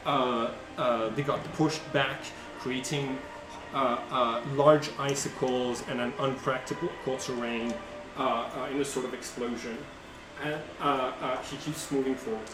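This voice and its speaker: A man working as game master, improvising tabletop narration in a halting way as he searches for words. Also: mid-range voice, fairly even intonation. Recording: roomy, distant mic, music behind. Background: music.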